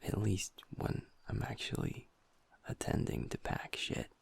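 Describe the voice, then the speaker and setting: A man voicing a happy-go-lucky young male werewolf boyfriend in an intimate audio roleplay, talking softly and earnestly to his partner. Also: unhurried, natural voice. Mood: earnest, resolute. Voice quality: soft, breathy, half-whispered. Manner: earnest, affectionate, talks to the listener as partner.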